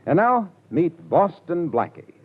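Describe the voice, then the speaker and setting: A polished male radio announcer with a lively, swooping delivery, introducing an old-time show. The sound is thin and phone-like, the tinny band of a vintage broadcast. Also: a little high, unhurried, breathy edge. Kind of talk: 1940s radio announcing and sponsor ad.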